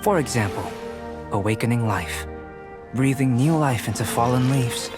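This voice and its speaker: An actor playing a composed young alchemist in a video game, analytical and detached, speaking in measured, articulate phrases. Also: male voice, medium pitch, close to an everyday voice. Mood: calm, persuasive. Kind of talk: video game character dialogue. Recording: background music behind the voice.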